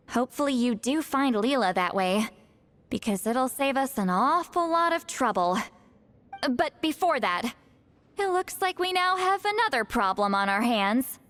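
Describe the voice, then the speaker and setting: Casting: seasoned female mystic for a game cutscene; fairly high voice, dry and in charge, music underneath. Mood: concerned, brisk. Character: seasoned, capable female spirit expert, dry and bossy. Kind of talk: video game cutscene dialogue. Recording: music behind the voice. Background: background music.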